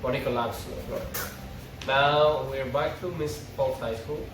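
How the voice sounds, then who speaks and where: Guy reading script directions out loud, far from the mic, noisy background. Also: medium pitch, steady tone, normal speed. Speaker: script narrator. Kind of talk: reading stage directions at a table read, with informal asides. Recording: echoey, distant mic, clear background noise.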